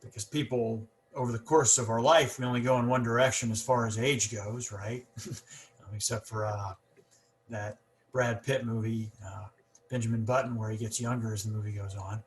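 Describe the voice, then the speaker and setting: A man's voice: lowish voice, fairly even intonation, moderate pace. A man hosting a casual podcast conversation, talking with a stutter and many repeats and fillers. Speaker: podcast host.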